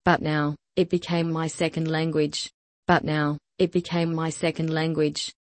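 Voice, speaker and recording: A woman with a somewhat low voice reads lesson sentences with slow, even care, repeating each one for learners. The sound is close and dry, with no room around it. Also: dry recording. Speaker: language lesson reader. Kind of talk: scripted repeat-after-me drill.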